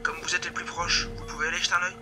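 A man acts out a businesslike dispatcher at police headquarters in an audio drama. He talks quickly and procedurally in a high voice, over loud music. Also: flat delivery. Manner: clipped, procedural. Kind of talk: French audio fiction acting.

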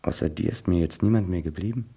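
A man's voice: deep voice, quick pace, vocal fry, husky, full character voice. An actor playing a bitter, jealous young male writer in a stage drama, brooding and then ranting. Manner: brooding whispers flaring into shouting.

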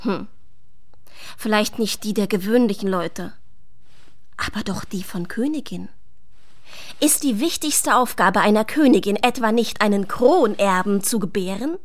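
An actress playing a pensive, unsentimental, doubting woman in a radio drama, speaking in a reflective, measured way. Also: mid-range voice, expressive, dry recording. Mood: sober, probing.

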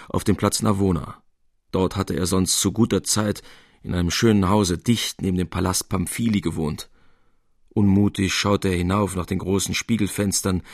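A man reading literary prose as a narrator, in a measured and expressive storytelling manner. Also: low voice, creaky, no echo, studio quality.

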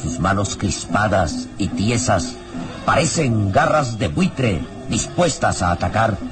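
A man's voice: fairly low pitch, expressive, over music. A male actor narrating a radio serial as a solemn, suspenseful storyteller with declamatory phrasing. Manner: declamatory, ornate, deliberate phrasing.

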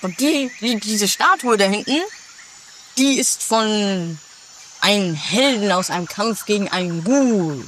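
A woman's voice: medium pitch, lively intonation, measured pace, a bit bright. A woman playing an earnest, devout and obliging companion in an amateur comedy radio play, explaining things patiently. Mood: eager, proud. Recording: faintly noisy amateur 1980s recording.

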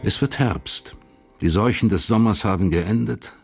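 A man reading scripted literary narration for a German radio crime drama in a sober, measured, detached way. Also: on the low side, gravelly, faint music behind.

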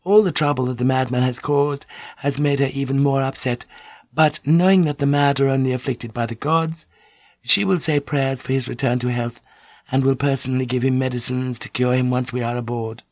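A man's voice: mid-range voice, steady tone, moderate pace, darkish tone, no echo, voice pushed up, natural voice. A man voicing an apologetic, humble young maid passing on her mistress's wishes. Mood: deferential. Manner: humble and polite. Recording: dull, dry lo-fi recording.

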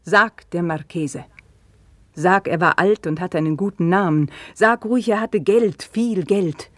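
An actress playing a young widow who speaks slowly and often in a whisper, in a radio play. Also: female voice, lowish voice, no echo, lightly acted. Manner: slow, hushed, often whispering. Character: Ines, young widow, estranged, bitter. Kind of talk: radio drama acting.

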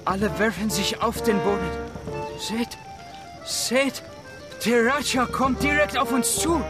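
Radio drama: humble elephant driver, high register, excitable and servile, clear music bed. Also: male voice, slow. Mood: awestruck, alarmed. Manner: deferential.

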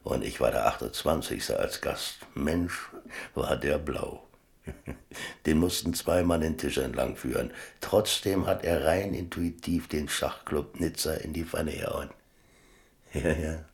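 An old sailor looking back on his life in a radio play. The voice is extremely deep and full of gravelly creak. He talks slowly, musing and wry, in plain colloquial words. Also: heavily acted. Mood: musing, amused. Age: older. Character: old seaman reminiscing about chess, wry, rambling storyteller. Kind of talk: radio play monologue, colloquial first-person storytelling.

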